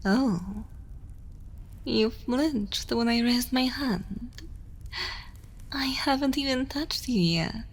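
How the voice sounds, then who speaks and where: An evil queen acted by a woman speaks extremely slowly and with total command. She is seductive and menacing with a motherly edge, often sinking into intimate whispers in an ASMR roleplay style. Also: fairly high pitch, breathy edge, heavily acted. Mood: teasing menace. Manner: slow, deliberate, dominant, often dropping into whispers.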